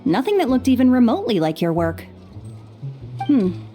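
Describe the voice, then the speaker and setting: Chatty tinkerer lady, bright high voice, friendly, bit of game music. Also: very animated, normal speed. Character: curious woman tinkerer dealing in scrap metal. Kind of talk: video game voice acting.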